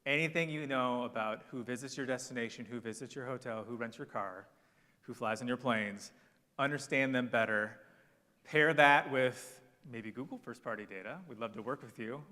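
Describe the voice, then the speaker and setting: A man speaking on a conference panel, giving brisk, confident marketing advice. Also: medium pitch, sharp tone. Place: conference hall. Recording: long hall reverb.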